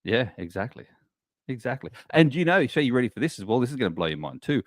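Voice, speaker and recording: A man's voice: mid-range voice, sing-song, fast talker, no room sound, studio quality. A man with an Australian accent, co-hosting a podcast and chatting, laughing and explaining things. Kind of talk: casual podcast banter.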